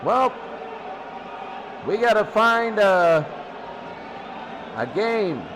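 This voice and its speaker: High-pitched man, slow clipped sports commentary, noisy. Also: big pitch swings. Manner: clipped fragments with pauses. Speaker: sports studio host. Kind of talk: off-the-cuff college football score commentary. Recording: clearly noisy background.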